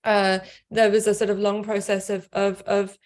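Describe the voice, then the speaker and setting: A woman's voice: mid-range voice, little pitch movement, normal speed, a little mellow. A middle-aged woman speaking on a video call from a home office, thoughtful, repeating words as she gathers her ideas. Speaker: author and scholar. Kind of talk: casual panel talk.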